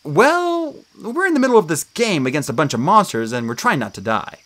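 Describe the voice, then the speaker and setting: A woman's voice: low voice, big pitch swings, a little fast, a bit bright, pitched above the performer's own voice, exaggerated voice. A woman voicing a wry teenage boy demigod with a dry way of talking.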